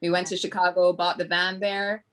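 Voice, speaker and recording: Podcast guest: low-pitched woman with a Barbadian accent, earnest and reflective. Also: level tone, normal speed, a bit bright. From Grenada. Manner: flowing, earnest, reflective with many ums. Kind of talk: casual interview talk.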